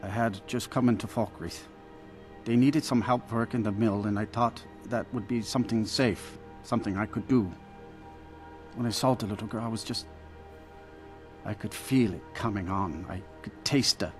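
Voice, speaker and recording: An actor playing a remorseful imprisoned werewolf in human form, brooding and halting, in video game dialogue. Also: male voice, on the low side, faint music behind, close to an everyday voice. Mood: haunted.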